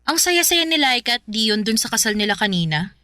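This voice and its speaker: A woman's voice: fairly high pitch, very animated, a little fast, sharp tone, soft background music. A young woman with a Filipino accent playing a candid, ambitious, playful girlfriend in a conversational radio drama. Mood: chatty, admiring. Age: young adult.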